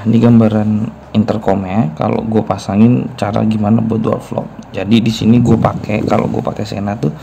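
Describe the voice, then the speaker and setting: A man's voice: fairly low pitch, vocal fry, mellow. A man gives a casual hands-on demonstration, informal and conversational, as a vlogger. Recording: faint ambient background noise.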